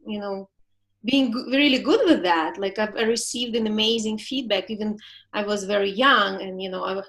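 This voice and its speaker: A woman's voice: mid-range voice, moderate pace, fairly bright tone. A woman in her forties from Ukraine with a Ukrainian accent, a relationship coach telling her story in an interview, laughing often and searching for words. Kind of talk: reflective interview talk.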